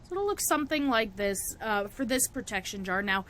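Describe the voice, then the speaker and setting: Raspy young woman with a cold, rambling chatty tutorial, laughs a lot, faint hum behind. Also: medium pitch, sing-song, fairly quick, fairly bright tone. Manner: chatty, laughs often, self-interrupting. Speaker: witchcraft vlogger. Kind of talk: casual tutorial chat.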